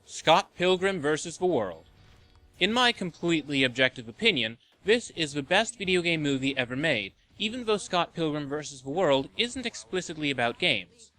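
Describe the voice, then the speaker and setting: Male list-show narrator: tongue-in-cheek, forceful bright tone, very animated delivery. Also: fairly high pitch, moderate pace, soft background music, low-quality recording. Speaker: host of a video game and movie show.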